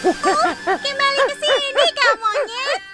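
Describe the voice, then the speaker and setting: A woman's voice: extremely high pitch, unhurried, over loud music. A woman voicing a sweet, earnest young girl tank engine in a children's cartoon dub. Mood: flustered.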